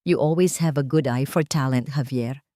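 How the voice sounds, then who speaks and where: A woman's voice: very deep voice, mellow, dry recording, clean studio sound, voice pushed down. A woman voicing a sly male business rival in a romance story, with pointed, insincere politeness. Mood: sly, insinuating. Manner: pointed, drawn-out emphasis.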